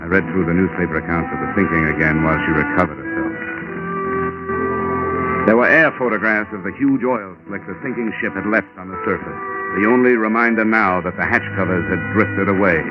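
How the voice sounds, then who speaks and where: A man's voice: low voice, fairly quick, lightly acted. An American man playing a cynical, clipped, tough insurance investigator in 1950s radio detective drama. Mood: reflective narration. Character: hard-boiled cynical male insurance investigator, narrating his own case. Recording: dull vintage radio recording with music.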